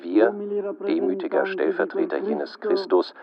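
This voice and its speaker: An actor playing a solemn Pope declaiming a broadcast address in a radio play. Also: male voice, high voice, very animated, measured pace, creaky, dull audio, noisy background, heavily acted. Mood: solemn, exalted. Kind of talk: solemn televised proclamation.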